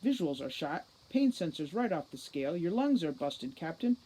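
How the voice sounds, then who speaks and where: A woman's voice: deep voice, sing-song, normal speed, dark tone, slight background noise, poor audio. A woman voicing a wry male centaur tech expert from a storybook, clipped, matter-of-fact and dryly sarcastic. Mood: brisk concern.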